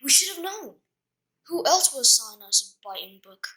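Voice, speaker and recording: A woman playing a blunt, incredulous young schoolboy wizard in an audio drama, with a husky voice and colloquial manner. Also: high-pitched, big pitch swings, normal speed, pushed and bright, heavily acted, poor audio. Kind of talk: fan audio drama acting.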